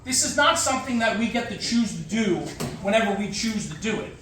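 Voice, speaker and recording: A man's fairly high voice rings around a very echoey room, picked up well away from the microphone. He delivers a motivational talk emphatically, hammering short phrases and repeating them for weight. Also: expressive, fairly quick, a bit bright. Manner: emphatic, punchy short phrases with rhetorical questions and repetition. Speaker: guest speaker, firefighter. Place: echoey indoor room, speaking to an audience. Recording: echoey room, distant mic, faint noise.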